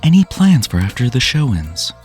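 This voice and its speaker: A man's voice: medium pitch, very animated, moderate pace, a little mellow, faint music behind. A man reading out scripted interview questions as the interviewer.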